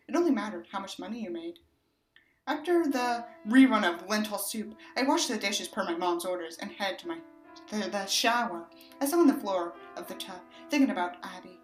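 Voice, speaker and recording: A woman's voice: mid-range voice, roomy sound, poor audio. A woman narrating a book expressively, with sardonic, exasperated asides as a commentator on what she reads. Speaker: audiobook reader and commentator.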